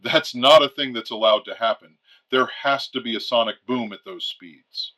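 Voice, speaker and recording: A man acts out a retired fighter pilot major on a late-night radio drama call-in. His voice is bright and forceful. He talks bluntly and deliberately, with an uneasy candor. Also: medium pitch. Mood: incredulous.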